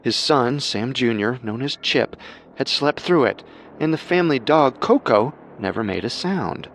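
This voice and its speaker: A man hosting a podcast, delivering scripted true-crime narration in a measured, dramatic storytelling manner. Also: medium pitch, expressive, a little hiss or hum.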